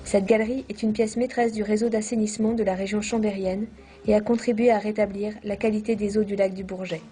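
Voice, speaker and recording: A woman reading a scripted documentary narration in a measured, formal manner. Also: mid-range voice, flat delivery, over music.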